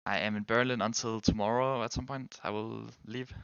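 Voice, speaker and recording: Danish-native male streamer, lowish voice, dry close sound, hesitant and rambling. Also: fairly even intonation, normal speed, creaky, a bit bright, smooth, no room sound, highs cut off. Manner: rambling, hesitant, restarts sentences. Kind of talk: casual chat with viewers while gaming.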